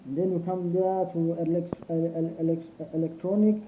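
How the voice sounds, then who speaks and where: A man's voice: fairly high pitch, moderate pace. A man with a Kenyan accent walking through an online form in a casual tutorial, switching between Swahili and English and spelling out letters one by one. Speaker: applicant demonstrating the form. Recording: tinny phone-like sound with steady noise.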